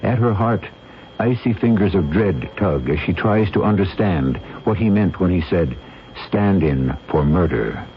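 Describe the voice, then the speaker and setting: A man hosting and narrating a radio mystery with ominous, theatrical, measured phrasing. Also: deep voice, expressive, a little hiss or hum. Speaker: host and narrator. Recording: muffled old radio broadcast.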